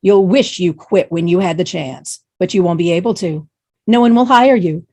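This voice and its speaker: A woman's voice: on the low side, moderate pace, darkish tone. A woman playing a brutal, menacing, foul-mouthed warehouse trainer in a screenplay table read. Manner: menacing drill-sergeant bluntness.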